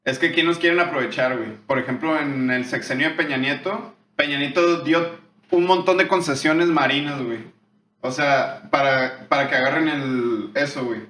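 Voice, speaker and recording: A man's voice: mid-range voice, moderate pace, bright, forceful tone, roomy sound, a little hiss or hum. A young man from northern Mexico with a northern Mexican accent, co-hosting a casual podcast chat and explaining at length in heavy slang. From Tijuana, Mexico. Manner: slangy, explains at length, drops "güey" constantly. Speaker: podcast co-host.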